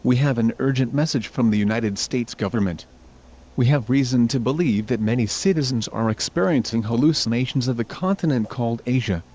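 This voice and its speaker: A synthetic male voice delivers a scripted emergency broadcast. Its pitch sits in the middle and the delivery is stilted and official, like an automated system. The audio is dull and low in fidelity. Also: a little mellow. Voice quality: synthetic text-to-speech voice. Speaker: emergency alert announcer.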